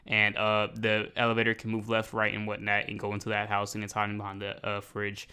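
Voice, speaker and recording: A man's voice: lowish voice, fairly quick, clean tone. Slangy young American man reacting. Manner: animated, slangy, emphatic, rambling. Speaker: reaction video host. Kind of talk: casual reaction commentary. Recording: faint music behind the voice.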